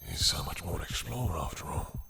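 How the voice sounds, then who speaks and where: Seductive male vampire for intimate audio roleplay, played by a man: very low, gravelly, slow and possessive. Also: lively intonation, noisy background, heavily acted. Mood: soft gloating. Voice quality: deep, breathy, gravelly whispery murmur. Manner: slow, teasing, silky, commanding.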